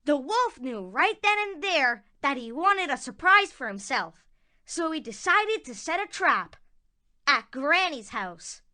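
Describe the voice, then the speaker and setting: High, bright woman storyteller. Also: big pitch swings, measured pace. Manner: dramatic storytelling. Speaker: narrator. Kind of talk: scripted children's story narration.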